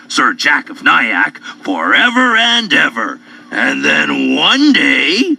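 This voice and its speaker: A man narrating children's stories in a playful, theatrical, drawn-out way. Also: on the high side, vocal fry, bright, forceful tone, breathy edge. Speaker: storyteller. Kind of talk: animated children's story narration. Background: music.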